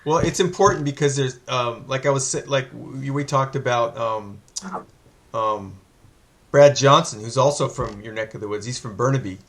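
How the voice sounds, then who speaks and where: A man's voice: medium pitch, moderate pace, a bit bright. A man hosting an interview, chatting casually with lots of hesitations and the odd joke. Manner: rambling, many fillers, joking. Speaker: interview host.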